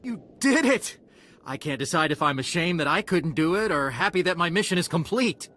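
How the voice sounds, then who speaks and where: Whiny, anxious young male trainee for a fantasy game, higher voice, very animated, easily flustered. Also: normal speed. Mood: relieved, explaining. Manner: complaining, flustered. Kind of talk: video game dialogue acting.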